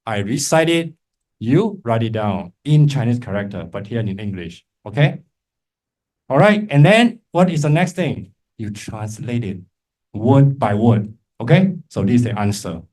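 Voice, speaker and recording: A male Buddhist teacher leads an interactive class in an informal, encouraging way. He explains step by step and keeps checking in with little tag questions, at an average pitch for a man. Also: lively intonation, unhurried, a little mellow. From Malaysia. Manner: encouraging, informal, frequent check-in tags like "right?" and "okay?". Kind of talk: interactive lecture to a class with remote listeners.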